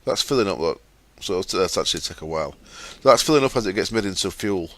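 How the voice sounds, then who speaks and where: A man hosting a gaming channel, explaining his setup step by step in a chatty way with fillers, his throat sore and croaky. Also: deep voice, animated, fast, a bit bright, no echo. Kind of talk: casual game commentary.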